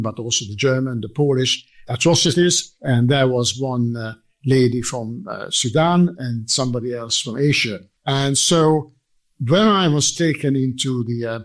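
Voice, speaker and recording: A man in his eighties with a Hungarian accent tells his personal story as an interview guest, speaking in a measured, formal and articulate way. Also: mid-range voice. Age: 80s. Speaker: interview guest, survivor giving testimony.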